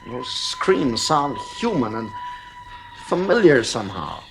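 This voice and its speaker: A man's voice: mid-range voice, sing-song, takes it slowly, background noise. An actor playing a worried, defensive father in a 1950s radio drama, earnest and strained. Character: worried, defensive father, anxious about his children.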